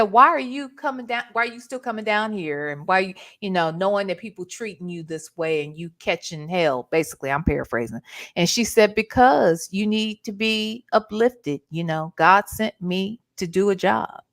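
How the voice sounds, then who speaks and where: A woman's voice: mid-range voice, moderate pace, a bit bright. A woman hosting a livestream, giving casual, slangy, blunt commentary and reading out chat messages. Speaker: livestream host.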